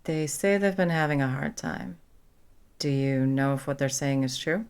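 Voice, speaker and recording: A woman's voice: rumbling low, moderate pace, darkish tone, clean tone. A young woman playing a caring, protective best friend in a conversational comfort roleplay, supportive and blunt. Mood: gently concerned. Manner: casual, supportive, blunt, sweary.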